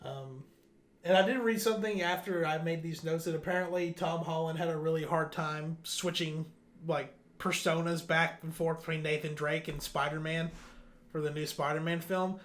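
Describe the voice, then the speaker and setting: A man with a fairly high voice, recorded in a noticeably echoey room. He hosts casually, reading out entertainment news and chatting between items as on a podcast. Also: steady tone, normal speed, fairly bright tone. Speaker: host of a video game news podcast. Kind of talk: casual news rundown and chat.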